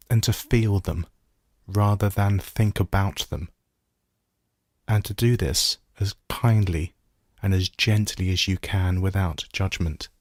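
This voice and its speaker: A man guiding a body scan meditation as a teacher, giving gentle, measured instructions with pauses. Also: fairly low pitch, no room sound, clean studio sound.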